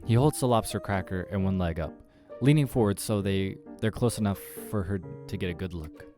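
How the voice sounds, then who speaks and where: A man's voice: low voice, fairly quick, over music. A man reading a visual novel aloud as a gamer, dramatic narration with casual asides.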